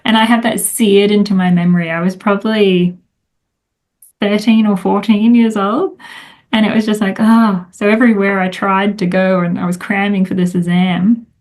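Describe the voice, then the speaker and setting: A woman's voice: medium pitch, level tone, moderate pace, a little mellow. A middle-aged woman, a time management coach, chatting casually as an interview guest, rambling with many fillers.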